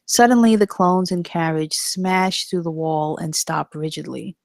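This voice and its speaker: A woman's voice: fairly low pitch, measured pace, creaky, no room sound. A woman narrating the stage directions at a screenplay table read in a scripted style.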